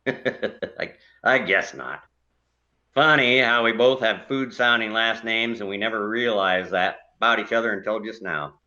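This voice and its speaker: A man's voice: on the low side, unhurried, bright, forceful tone, highs cut off. A man playing a grumpy older widowed neighbor in a stage play, blunt and dryly complaining. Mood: reflective.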